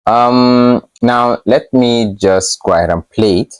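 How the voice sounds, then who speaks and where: A man hosting a casual software tutorial, explaining in a loose, unscripted and slightly hesitant way. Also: mid-range voice, takes it slowly, smooth, dry recording. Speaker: host of a tech tutorial channel. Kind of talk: casual screen-share tutorial narration.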